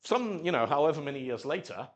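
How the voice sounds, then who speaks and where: A man's voice: a little high, quick pace. A man from Zimbabwe giving a live technical talk at a meetup, telling stories and acting out quotes in little voices. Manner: animated storytelling, acts out quotes in voices. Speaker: conference speaker.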